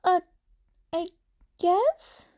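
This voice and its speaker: A woman's voice: extremely high pitch, lively intonation, extremely slow, dark tone, voice pushed up, exaggerated voice. A woman voicing an upset, awkward teenage boy vigilante in a story, hesitant and apologetic.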